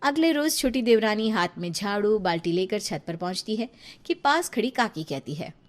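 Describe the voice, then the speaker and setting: A woman narrating a scripted comic moral story in an animated storytelling style. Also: mid-range voice, normal speed.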